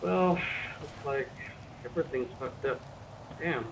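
A man's voice: mid-range voice, takes it slowly, vocal fry. A man playing a medic in casual, improvised game roleplay, muttering commentary as he examines and swearing now and then. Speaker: roleplay player acting as a medic. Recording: noisy game voice chat.

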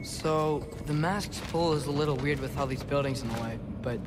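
A man's voice: on the high side, moderate pace, natural voice. A man playing an earnest teenage boy adventurer in a video game, youthful and slightly awkward, a little unsure of himself. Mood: focused. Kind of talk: video game cutscene acting. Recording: loud ambient game noise behind.

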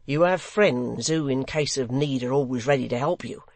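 A man's voice: medium pitch, normal speed, gravelly, no room sound, lightly acted. A man voicing a sly, mock-courteous blind extortioner in a theatrical, insistent storybook reading. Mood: businesslike, callous.

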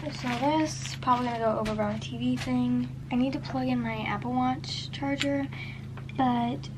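A chatty teenage girl talks to her camera while cleaning her bedroom, her voice a touch high. She rambles, circling back on herself with plenty of fillers. The home recording has a clear hiss of noise behind it. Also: fairly even intonation, moderate pace. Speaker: vlogger. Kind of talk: casual vlog chat. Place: bedroom, indoors. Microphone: handheld camera at arm's length.

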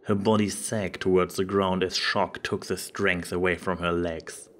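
A man's voice: sits low, level tone, a little slow, a bit bright. A man reading scripted story narration.

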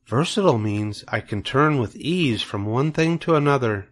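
Man as a sensible lady spider, raised pitch. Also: lively intonation, natural voice. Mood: matter-of-fact. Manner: measured, matter-of-fact. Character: calm, sensible female spider, kindly but firm.

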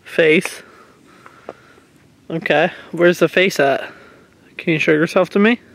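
A young man vlogging a ghost hunt alone in the woods at night, talking in a hushed, nervous way into a handheld camera. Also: fairly high pitch, takes it slowly, vocal fry. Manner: hushed, nervous, questioning the dark. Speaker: paranormal vlogger. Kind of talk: handheld ghost-hunting vlog.

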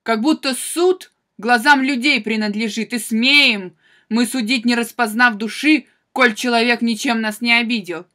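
Casting: actress for wronged, vengeful wife in classical tragedy. Higher female voice, very slow, declamatory delivery, proud and bitter, intense. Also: pushed and bright. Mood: proud, reproachful. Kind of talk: theatrical classical tragedy monologue.

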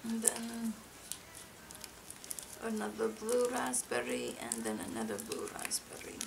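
A young woman voicing a soft-spoken cartoon monster doll girl with a put-on foreign accent, murmuring and whispering in an ASMR roleplay over a very noisy home recording. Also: medium pitch, big pitch swings, unhurried, creaky, full character voice. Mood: soft, focused. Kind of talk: ASMR roleplay, unhurried sorting narration. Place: indoor living room floor, home. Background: loud hiss, crinkling wrappers, clicks.

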